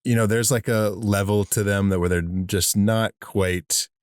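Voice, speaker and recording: Low-voiced man telling a rambling story. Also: steady tone, measured pace, clear, resonant tone, no room sound, clean studio sound. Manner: rambling with many fillers and restarts, laughs while telling stories. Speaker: podcast co-host. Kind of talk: casual chat.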